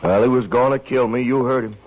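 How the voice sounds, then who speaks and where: A man's voice: mid-range voice, fairly even intonation, a little fast, natural voice. A man acting a cocky, callous riverboat gambler in a 1950s radio western, with a brash, mocking manner. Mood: indignant. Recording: muffled vintage 1950s radio recording.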